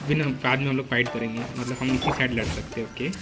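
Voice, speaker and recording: Very fast-talking young Indian man streaming. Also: mid-range voice, fairly even intonation, loud music behind the voice. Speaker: game live streamer. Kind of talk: casual live gameplay commentary.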